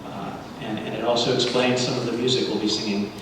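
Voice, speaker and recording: Distant mic, long hall reverb, man, middle-aged, native Spanish speaker, sermon-like delivery, deliberate phrasing. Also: on the low side, level tone, fairly bright tone. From Cuba. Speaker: guest speaker leading a congregational service. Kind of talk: sermon-like public address with readings. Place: large reverberant indoor hall, speaking to a congregation. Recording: very echoey hall, distant mic, light noise.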